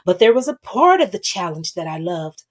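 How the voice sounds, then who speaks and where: A woman's voice: fairly low pitch, sing-song, brisk, a little hiss or hum. Woman putting on a meek, docile old man. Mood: earnest, self-pitying. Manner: soft, earnest dramatic reading.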